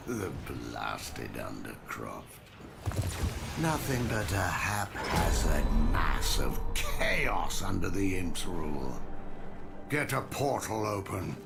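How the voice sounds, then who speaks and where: Gravelly, wildly swinging bitter nobleman. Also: sits low, big pitch swings, takes it slowly, heavily acted. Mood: exasperated. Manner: theatrical, swinging from mutters to shrieks. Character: volatile, bitter male nobleman, explosive and self-loathing. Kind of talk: cinematic game dialogue. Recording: very loud music behind the voice.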